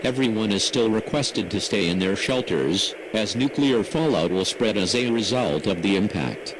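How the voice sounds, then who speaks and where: A man reading a scripted emergency broadcast announcement in a formal, measured, impersonal manner. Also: fairly low pitch, animated. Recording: noisy emergency radio broadcast audio.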